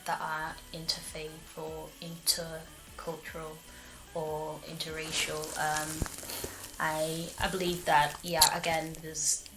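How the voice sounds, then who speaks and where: A young woman from Malawi and England gives a reflective interview in a roomy, echoing space. Her voice is low for a woman and stays quite flat. She phrases her thoughts tentatively, feeling her way through each answer. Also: slow, over loud music. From born in Malawi, grew up there and in Manchester, England. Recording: echoey room.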